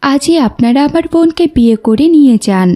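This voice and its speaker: A woman's voice: high-pitched, expressive, brisk. A woman playing an outspoken young daughter in a cartoon drama, indignant and defending her sister. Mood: pleading. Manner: indignant, forceful wording.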